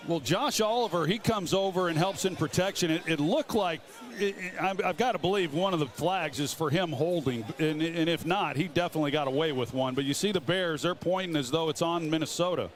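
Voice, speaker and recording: A man's voice: a little high, a little fast. A man giving analytical football commentary as a television color analyst.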